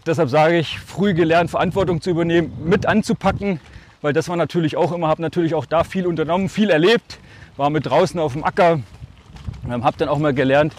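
A man's voice: fairly high pitch, steady tone, a little fast, slight background noise. A man in his forties from Germany with a northern German accent with a slight Swabian touch, talking as a podcast guest in a reflective, storytelling way. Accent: Altmark, northern Germany, slightly Swabian. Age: 40s. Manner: reflective, speaks in short phrases, often draws words out. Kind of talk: casual storytelling.

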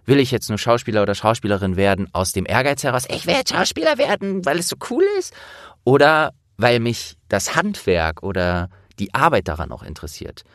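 A man's voice: mid-range voice, very animated, a little fast, fairly bright tone, no room sound, clean studio sound. A young man from the Rhineland in Germany, an actor hosting a casual interview, explaining things at length in a searching way.

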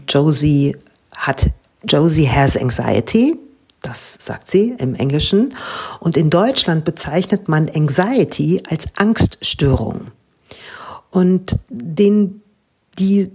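A woman's voice: low voice, a little mellow, slightly rough. A woman translator answering students' interview questions, explaining her work thoughtfully at length with frequent hesitations.

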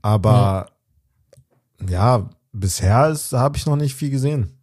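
Chill podcast dude, middle pitch, slangy, thinks out loud and trails off. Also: male voice, lively intonation, a little slow. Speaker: podcast co-host. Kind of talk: casual chat.

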